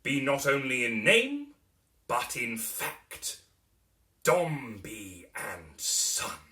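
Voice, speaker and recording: A man voicing a stern, pompous middle-aged businessman and proud father in a dramatic reading of a Victorian novel, speaking slowly and grandly. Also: mid-range voice, wildly swooping pitch, sharp tone, reverberant, far from the mic, exaggerated voice. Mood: grand satisfaction. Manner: pompous, grandiose, slow and declamatory.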